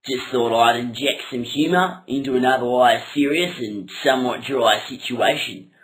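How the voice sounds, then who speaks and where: A man's voice: medium pitch, moderate pace, fairly bright tone, pitched below the performer's own voice, natural voice, low-quality recording. A man voicing Frederick's part, a crude, mock-earnest clownish robber in a comic puppet show. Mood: mock-serious.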